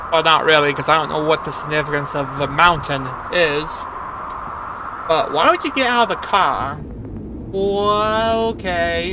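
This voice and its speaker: A video host giving casual reaction commentary, thinking aloud in a halting way. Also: medium pitch, noisy background.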